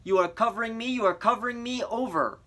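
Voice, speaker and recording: A man's voice: extremely high pitch, fast talker, fairly bright tone, pitched above the performer's own voice. A man reading an audiobook, voicing an adult male radio operator who speaks in clipped, formal radio procedure. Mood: procedural. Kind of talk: audiobook character voice.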